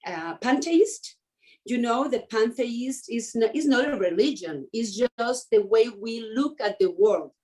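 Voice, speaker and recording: A woman, speaking English as an as an interview guest, often pausing and restarting to find her words. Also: fairly high pitch, expressive, darkish tone. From Mexico. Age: 50s. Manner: hesitant, searching for words with many restarts. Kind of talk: conversational interview.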